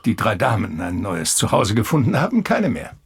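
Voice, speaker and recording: A hesitant, frail professor in a radio play. The voice is very hoarse and breathy, with wavering, lively pitch and an absent-minded air. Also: male voice, moderate pace, full character voice. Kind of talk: German radio play acting.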